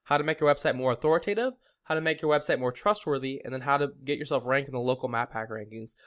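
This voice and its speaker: A man's voice: mid-range voice, fast talker, fairly bright tone. A man giving a casual, chatty and upbeat screen-share tutorial to a client, like a consultant reviewing a website. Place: screen recording voice-over.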